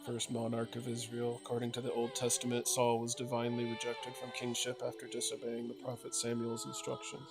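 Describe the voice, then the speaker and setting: Mid-pitched man narrating a game. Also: monotone, fairly quick, hardly any pauses, over loud music. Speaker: gamer reading game dialogue aloud. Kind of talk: casual gameplay commentary and read-aloud.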